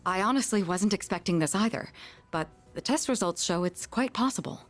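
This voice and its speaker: An actress playing a composed, studious student leader in a video game, formal and measured. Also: female voice, medium pitch, animated, soft background music, close to an everyday voice. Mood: explanatory.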